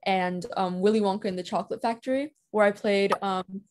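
A teenage girl answering interview questions over a video call, soft-spoken and hesitant, with frequent ums. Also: medium pitch, steady tone, fairly quick. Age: teens. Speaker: guest. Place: indoors at home.

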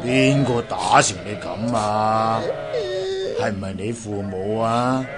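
A man's voice: fairly low pitch, slow, a loud crowd. A man playing a kindly, sympathetic old man in a radio drama, exclaiming and sighing, with a Hong Kong Cantonese accent. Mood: concerned, sympathetic. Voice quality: creaky, aged.

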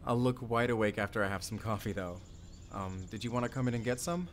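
A man's voice: fairly low pitch, slight background noise, natural voice. Earnest, lovelorn young man acted by a man. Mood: sleepy, friendly. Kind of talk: audio roleplay acting.

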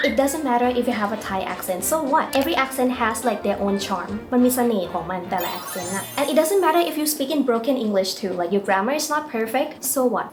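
Lively young woman, quick and animated. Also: fairly high pitch. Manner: lively, laughs often, encouraging. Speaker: host. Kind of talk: casual chat.

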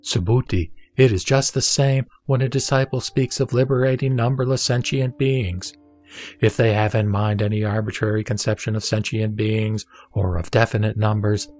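A man playing the Buddha as a serene teacher, reciting scripture in a measured, didactic, emphatic way. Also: fairly low pitch, fairly even intonation, darkish tone, soft background music, natural voice. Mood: calm, instructive. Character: the Buddha, serene male spiritual teacher instructing a disciple. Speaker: sutra audio drama reader.

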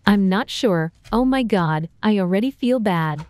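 A woman's voice: medium pitch, lively intonation, unhurried, a little mellow, no room sound, close to an everyday voice. A woman playing a casual, worried teenage girl in an amateur scripted storytime drama. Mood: conspiring, weary. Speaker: voice actor in an animated storytime drama. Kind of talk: scripted amateur roleplay dialogue.

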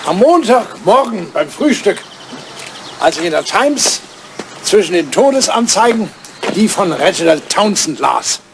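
An older man, an actor playing an excitable, wistful old lord in love, theatrical and impulsive, in a radio comedy drama. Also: high-pitched, a little slow, gravelly, exaggerated voice. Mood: wistful, reminiscing. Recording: old radio play recording, faint noise.

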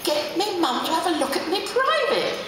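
A woman's voice: extremely high pitch, big pitch swings, normal speed, a crowd in the background, voice pushed up. A woman voicing a skinny, cheeky London lad, agitated and whining, in a live story reading. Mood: pained, panicky. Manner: pained, whiny, sarcastic. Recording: very echoey hall with audience noise.

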